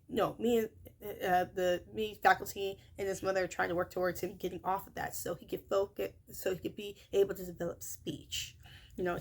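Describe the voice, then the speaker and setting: A young woman who works in childcare recaps her day in a casual vlog, her high, shrill voice rambling with many fillers and self-corrections. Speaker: childcare worker.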